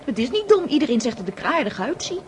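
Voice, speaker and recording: High-pitched, girlish excitable young countess. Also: fast. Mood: excited. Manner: gushing, impulsive, girlish exclamations. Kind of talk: radio drama acting. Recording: noisy old radio play recording.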